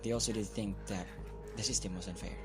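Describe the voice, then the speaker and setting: Male student, man actor: earnest, uneasy, lower register, radio drama over clear music. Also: fairly even intonation, fast talker, lightly acted. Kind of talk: amateur radio drama acting. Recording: music behind the voice.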